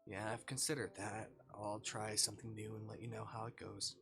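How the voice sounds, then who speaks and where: A streamer of ambiguous gender voicing a tired, numb, withdrawn visual novel protagonist in a subdued, hesitant, quiet way. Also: mid-range voice, little pitch movement, brisk, gravelly, soft background music. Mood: resigned. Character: tired, numb, withdrawn therapy client named Snow.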